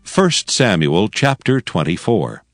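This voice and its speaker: A man narrating scripture as a dramatized production, in a solemn, measured, formal storytelling manner. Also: low voice, big pitch swings, faint music behind.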